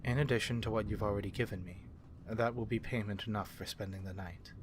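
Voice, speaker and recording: Man playing a low-voiced, courteous vampire. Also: steady tone, very noisy, lightly acted. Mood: gentle, caring. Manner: measured, formal, gently reassuring. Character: calm, courteous male vampire ally to humans. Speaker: ASMR roleplay performer. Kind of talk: soft-spoken ASMR fantasy roleplay.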